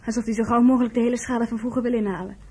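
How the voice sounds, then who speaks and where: A woman's voice: on the high side, fairly even intonation, rapid-fire, warm tone, breathy edge. A woman acting an eager, chatty young nursing trainee in a Dutch radio play, on a noisy old recording. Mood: concerned.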